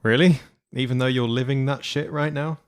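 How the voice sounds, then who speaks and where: A man's voice: mid-range voice, fairly even intonation, moderate pace, natural voice. A man playing the blunt, sweary leader of a band of zombie survivors in an audio drama. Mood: dismissive.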